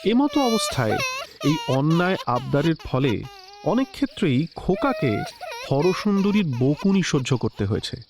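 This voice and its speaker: A man narrating a classic story in a measured, expressive literary style. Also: a little high. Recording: slightly dull lo-fi recording with soft music.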